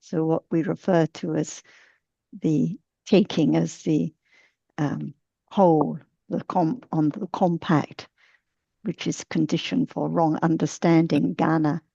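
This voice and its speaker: Woman with a low flat gravelly voice, careful explaining, dull lo-fi sound. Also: no echo. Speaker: discussion participant. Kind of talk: casual discussion.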